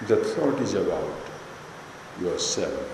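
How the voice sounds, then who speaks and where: An Indian male spiritual teacher guides a meditation at a very slow pace. His phrases are short and deliberate, with space between them. The recording is heavily echoing, with clear background noise. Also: low voice, sing-song. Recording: echoey hall, long reverb, background noise.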